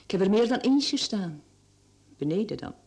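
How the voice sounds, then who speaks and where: Woman playing sly radio-play mistress, Flemish accent, middle-aged, highly animated pitch, soft warm tone, flirtatious, coaxing. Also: moderate pace, close to an everyday voice. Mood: wistful, coaxing. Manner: teasing, coaxing, giggly. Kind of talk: radio drama acting.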